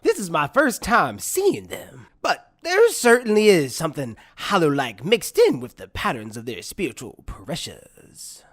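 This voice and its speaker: A woman's voice: low-pitched, wildly swooping pitch, unhurried, hardly any pauses, heavily acted. A woman playing a curious, observant onlooker in an anime fan dub.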